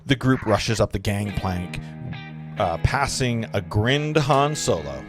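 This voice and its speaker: A man with a lowish voice narrates scene directions at an informal script reading. He reads plainly and sometimes trips over words and fixes them. Guitar music plays clearly behind him. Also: lively intonation, takes it slowly. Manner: stumbles over words and corrects himself.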